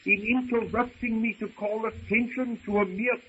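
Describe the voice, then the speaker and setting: An actor playing a pompous, pedantic, easily affronted older professor in an old-time radio drama, speaking in formal, long-winded phrases. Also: male voice, sits high, fairly even intonation, normal speed, fairly bright tone. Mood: pompous indignation. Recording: muffled, noisy old radio recording.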